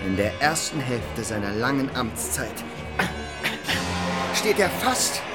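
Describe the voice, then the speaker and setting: An actor playing a grandiose history narrator in a radio play, delivering scripted narration with dramatic relish. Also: male voice, mid-range voice, big pitch swings, slow pace. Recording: loud music under the voice. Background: music.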